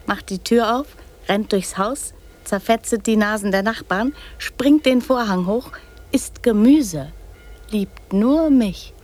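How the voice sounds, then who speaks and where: Radio drama: small bossy girl, imaginative, breathy, secretive whispers, unhurried delivery. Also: medium pitch. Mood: bossy, excited. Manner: hushed, secretive whispering broken by sudden loud insistence.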